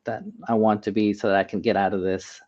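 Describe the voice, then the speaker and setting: A man's voice: on the low side, flat delivery, quick pace, a little mellow. An American man speaking earnestly and conversationally as an interview guest, on dull, lo-fi audio. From United States. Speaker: interview guest, healthcare AI expert and doctor. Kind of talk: conversational interview, advice and personal stories.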